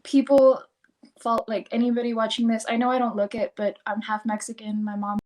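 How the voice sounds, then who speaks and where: Higher-voiced woman, rambling chat. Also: level tone, darkish tone. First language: Spanish. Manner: fast, rambling explanations with many "like"s. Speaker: guest. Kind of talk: casual livestream chat.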